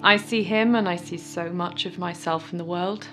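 An actress playing a resentful, wistful young sister in an audio play monologue, measured and confiding. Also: female voice, fairly low pitch, steady tone, music in the background, lightly acted, poor audio. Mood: wistful, hopeful.

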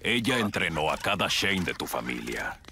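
A man voicing a big, gruff, good-natured troll companion in a Spanish-language cartoon dub, with a gravelly voice. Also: sits low, sing-song, moderate pace, sharp tone, a little hiss or hum, exaggerated voice. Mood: solemn, explaining.

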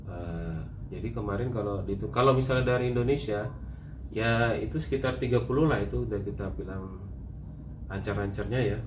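A man's voice: lowish voice, a little fast, reverberant, slight background noise, low-quality recording. A man in his thirties, a travel vlogger, chatting casually in a hotel room and explaining things step by step. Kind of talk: casual chat.

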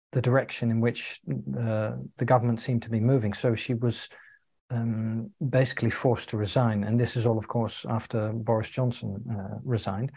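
A young man from the UK explains things over a video call. He picks his words carefully, with hesitant pauses, in a plain, mid-pitched voice. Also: dark tone. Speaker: co-host. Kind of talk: casual video call chat.

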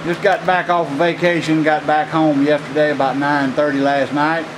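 A middle-aged man, talking a little higher than most men as he ambles through a cramped metal workshop. He rambles in a friendly way, stopping often with "uh." The handheld camera audio carries a steady hiss and an air conditioner hum. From Louisiana, USA. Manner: rambling, friendly, with many pauses and fillers. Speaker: knife maker. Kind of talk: casual workshop tour. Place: small metal workshop building, indoors. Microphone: handheld camera mic.

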